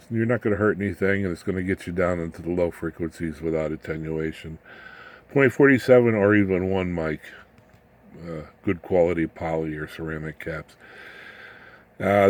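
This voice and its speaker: Male, low-pitched hobbyist explainer; folksy, slangy, informal technical talk that rambles. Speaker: electronics hobbyist presenter.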